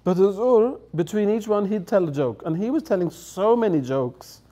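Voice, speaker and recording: A man's voice: high-pitched, expressive, normal speed, dark tone. A middle-aged man, a native English speaker, telling anecdotes in a chatty way, laughing and acting out quoted lines, at a table on a studio set. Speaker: guest elder. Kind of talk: storytelling anecdotes. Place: studio set with dark curtains.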